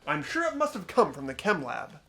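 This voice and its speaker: A young man hosting a game playthrough, switching between expressive scripted narration and casual commentary. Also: high-pitched, brisk, vocal fry. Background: soft game music.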